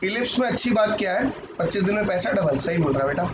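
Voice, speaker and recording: A hoarse man from India teaches maths in a live class and keeps joking with his students. His voice sits high for a man. The recording is muffled, with steady background noise. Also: quick pace, reverberant. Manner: banters with students, mixes Hindi and English. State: hoarse, sore throat.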